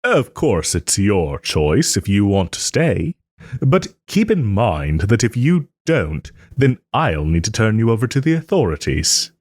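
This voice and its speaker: Male actor as a smug soft-hearted nobleman, refined, teasing, unhurried, expressive pitch swings, close dry sound, audio roleplay. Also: dry recording. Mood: wistful, persuasive. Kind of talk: audio roleplay, dramatic monologue to listener.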